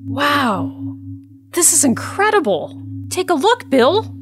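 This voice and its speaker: A woman's voice: high voice, very animated, slow pace, heavily acted. A woman acting in an audio drama as a serious, keen government investigator. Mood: amazed, intrigued.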